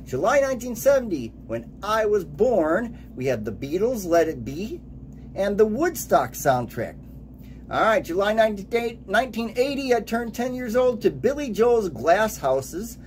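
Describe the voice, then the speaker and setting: Lively man in his late forties, DJ-style. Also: high-pitched, a little slow, slight background noise. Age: late 40s. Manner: upbeat announcer delivery, listing titles and years. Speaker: radio DJ. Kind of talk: radio DJ countdown narration.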